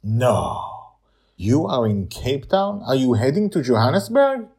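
A man's voice: medium pitch, sing-song, measured pace, darkish tone, voice pushed up. A man voicing a proud, stubborn, anxious girl with careful, formal phrasing, in a story podcast. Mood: surprised.

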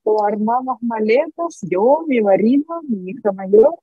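A woman from Siberia whose first language is Russian, speaking with a Russian accent as a startup founder in a casual interview, halting with drawn-out fillers and frequent laughs. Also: fairly high pitch, expressive, husky, no echo, phone-quality sound.

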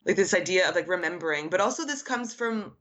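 A woman's voice: lowish voice, expressive, quick pace, fairly bright tone. A woman artist whose first language is Arabic, answering interview questions in an earnest, reflective way, with frequent "like" fillers. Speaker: artist guest.

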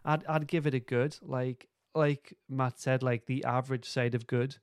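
A man's voice: medium pitch, fairly even intonation, normal speed, clear, resonant tone, no room sound, studio quality. A man hosting a podcast, chatting casually in an informal, understated way. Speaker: podcast host. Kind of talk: casual review chat.

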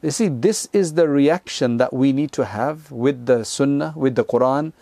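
Gravelly man giving a relaxed religious talk. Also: medium pitch, moderate pace, no echo. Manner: conversational, explains Arabic quotes in English with frequent asides. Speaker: Islamic teacher. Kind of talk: religious lecture retelling hadith.

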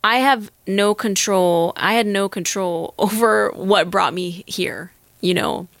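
A woman's voice: medium pitch, slow pace, fairly bright tone, dry recording. A young woman with a Northern Californian accent, speaking as an interview guest. She talks in an earnest, reflective way, thinking aloud and restarting her sentences. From Northern California, United States. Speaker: interview guest, actor and musician. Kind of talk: candid conversational interview.

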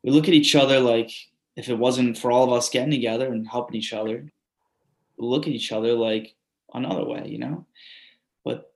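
A man's voice: medium pitch, creaky, natural voice. An actor playing a grateful male storm survivor in a readers theatre monologue, often saying "you know". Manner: reflective, repeats "you know".

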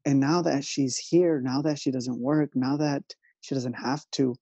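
Gravelly man, dark dry lo-fi sound. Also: mid-range voice, steady tone, measured pace, dry recording. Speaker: author. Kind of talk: conversational talk.

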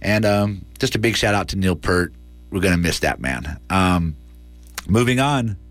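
A man's voice: low-pitched, animated, measured pace, fairly bright tone, dry recording, faint music behind. A man with an American accent presenting community radio in a casual, conversational way as host and interviewer.

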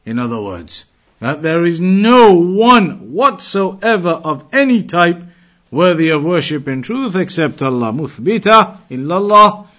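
A man's voice: fairly high pitch, big pitch swings, normal speed, a bit bright. A man giving a religious lesson in a didactic, emphatic way, repeating key phrases and reciting quotations. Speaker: Islamic teacher.

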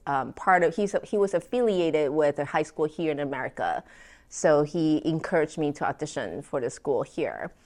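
A low-pitched woman from Taiwan speaks as an interview guest. She tells her stories in an animated way, with plenty of ums and small laughs. Her voice sits deep for a woman. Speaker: guest, French horn player. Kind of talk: conversational interview.

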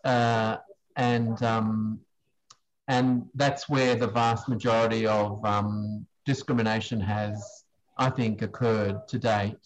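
Halting man answering questions. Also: fairly low pitch, little pitch movement, low-quality recording. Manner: hesitant, halting, searching for words with long pauses. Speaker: guest speaker, screen industry professional answering questions. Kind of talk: unscripted question-and-answer talk.

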